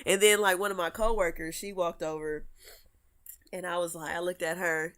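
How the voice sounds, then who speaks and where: A woman's voice: fairly low pitch, moderate pace. A young woman with a stuffy nose from allergies chatting on a podcast, acting out her own reactions as she tells stories. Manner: animated storyteller who acts out her own reactions. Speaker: guest artist. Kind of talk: casual podcast interview.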